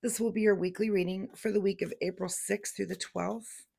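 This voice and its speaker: Gravelly woman just over laryngitis. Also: medium pitch, fairly even intonation, normal speed. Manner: chatty, gentle, many fillers and restarts. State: recovering from laryngitis. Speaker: tarot reader. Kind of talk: casual tarot reading to camera.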